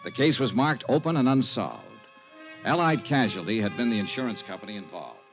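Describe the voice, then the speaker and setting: Man acting cool male investigator, lively intonation, terse, skeptical, matter-of-fact, 1950s radio drama, dull vintage broadcast. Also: mid-range voice, a little fast, over music, natural voice. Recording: muffled old radio broadcast.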